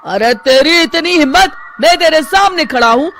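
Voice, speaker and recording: A woman's voice: high voice, expressive, moderate pace, a bit bright, soft background music, pitched above the performer's own voice. A woman voicing an indignant fat village husband in a cartoon story, scolding and exclaiming.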